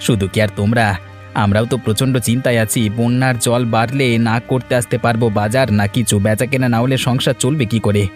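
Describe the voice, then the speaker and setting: A man's voice: medium pitch, steady tone, fast, faint music behind, lightly acted. A man voicing a worried village fish seller in a cartoon, speaking in an earnest, plain way.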